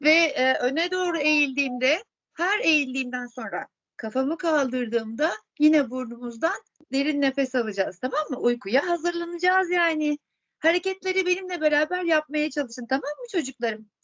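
A woman's voice: high voice, animated, normal speed, a bit bright, muffled recording. A woman teaching yoga to children, guiding relaxation with encouraging, repeated questions. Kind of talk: guided relaxation instruction for children.

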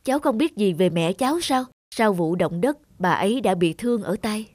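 A woman's voice: mid-range voice, expressive, moderate pace, natural voice. A woman voicing a serious, concerned doctor in a cartoon drama, speaking earnestly. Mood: grave, concerned. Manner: earnest, explanatory.

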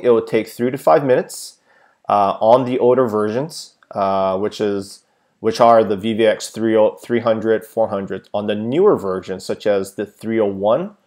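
A man giving a plain, methodical step-by-step how-to narration, with frequent filler sounds, as a tech tutorial presenter. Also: fairly low pitch.

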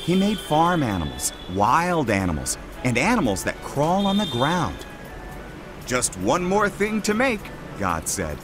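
A man narrating a children's Bible storybook in an expressive, scripted storytelling manner. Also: a little high, moderate pace. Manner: expressive storytelling with emphatic short exclamations. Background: music.

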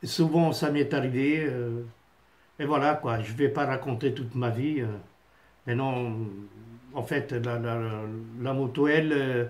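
Italian-accented man rambling slowly. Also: medium pitch, steady tone. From Italy. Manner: halting, many fillers and restarts. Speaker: vlogger. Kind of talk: casual rambling monologue to camera. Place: small home office indoors. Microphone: phone camera on a stand at desk.

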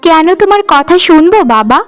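A woman voicing a cheeky, affectionate young village girl in a cartoon, chirpy and teasing, with a high voice. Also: very animated, brisk, faint music behind. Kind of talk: cartoon voice acting.

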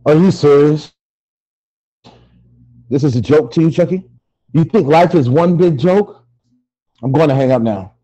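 A man playing an anguished, angry victim tormented since childhood, with a husky voice, in a dramatic scene over a video call. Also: high voice, lively intonation, quick pace, warm tone, highs cut off. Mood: furious, anguished.

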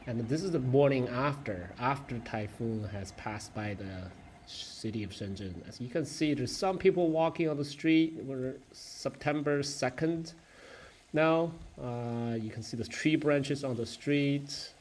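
A man narrating a casual travel vlog as he walks through streets, a mall and a subway station, halting with many fillers and self-corrections. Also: mid-range voice, a little mellow, slight background noise. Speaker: travel vlogger.

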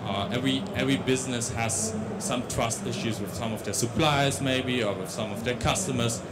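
A man's voice: medium pitch, normal speed, very noisy. A young man with a Dutch accent giving a tech conference talk on a large stage into a handheld mic, with many restarts and fillers. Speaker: conference speaker.

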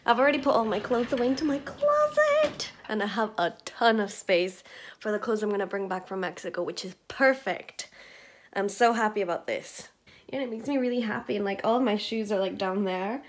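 A woman in her twenties chatting casually to her phone in a small bedroom, full of "like" and little giggles, her voice a bit croaky. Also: medium pitch, lively intonation, a little fast. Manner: chatty, lots of "like", giggly asides. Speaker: vlogger. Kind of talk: casual vlog chat. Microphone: phone held at arm's length.

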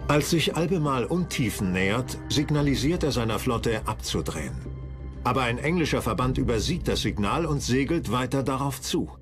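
A man's voice: medium pitch, animated, normal speed. A man narrating a historical documentary from a script in a dramatic storytelling style. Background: music.

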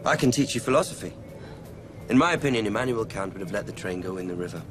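Low-voiced, earnest young dreamer, played by a man. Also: expressive, quick pace, lightly acted. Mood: earnest musing. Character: earnest young male thought-experiment figure, naive amateur philosopher. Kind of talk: radio drama acting. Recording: music behind the voice.

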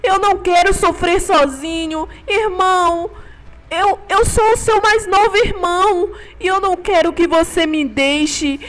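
A woman's voice: extremely high pitch, measured pace, fairly bright tone. A woman with a Brazilian accent voicing a timid, lonely, odd-looking baby male dinosaur who pleads and stammers. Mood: pleading. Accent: Brazilian Portuguese. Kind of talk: game roleplay voice acting.

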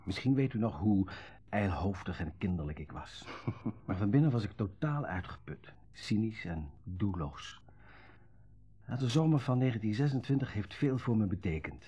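Radio drama: thoughtful young man, deep and breathy, modest, slightly muffled vintage sound. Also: animated, normal speed, mellow. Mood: sincere. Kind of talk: Dutch radio play acting, naturalistic dialogue. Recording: dull old radio recording.

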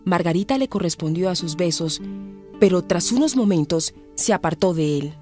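A woman's voice: on the low side, moderate pace, mellow. Colombian woman narrator with music behind. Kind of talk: scripted radio drama narration.

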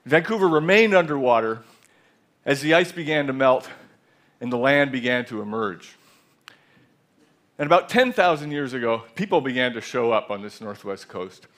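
A man in his sixties telling a measured, reflective story in a talk on a large theater stage, with a headset mic. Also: medium pitch, animated, fairly bright tone. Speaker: speaker. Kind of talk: conference talk.

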